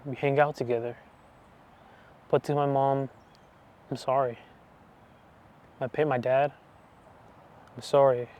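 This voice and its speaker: A man in his twenties giving a personal faith testimony, talking softly and hesitantly with many restarts. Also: mid-range voice. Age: 20s. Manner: soft, hesitant, full of restarts and fillers. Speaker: channel host giving testimony.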